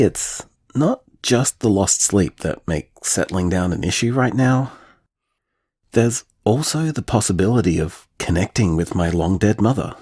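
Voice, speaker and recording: Husky, earnest young guy. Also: fairly low pitch, mellow, full character voice. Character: earnest, restless young man leaving tender voicemails. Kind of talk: naturalistic audio drama voicemail monologue.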